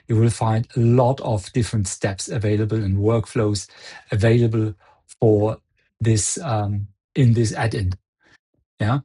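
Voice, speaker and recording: Husky-voiced man presenting a software demo. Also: mid-range voice. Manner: explanatory, step-by-step walkthrough. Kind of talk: live product demo presentation.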